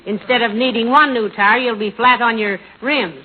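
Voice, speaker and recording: An actress playing a kindly, wry, sensible wife with a folksy manner in 1940s radio comedy style. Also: female voice, a little high, normal speed, natural voice. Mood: gently correcting. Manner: folksy, wry, gently correcting. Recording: tinny, noisy 1940s radio recording.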